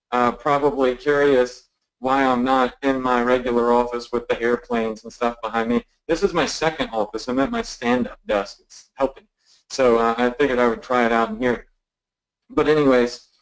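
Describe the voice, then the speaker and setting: A man in his thirties with a gravelly edge runs a live marketing webinar from a stand-up desk in his office. He talks in an upbeat, chatty way, full of ums and quick restarts, and keeps checking in with his viewers. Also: medium pitch, low-quality recording. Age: 30s. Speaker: online marketing trainer hosting a webinar. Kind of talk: casual live webinar teaching. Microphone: desk mic on a live webinar.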